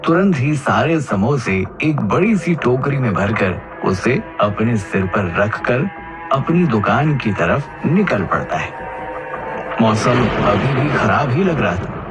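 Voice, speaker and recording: A man narrating a scripted children's cartoon story as its storyteller. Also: medium pitch.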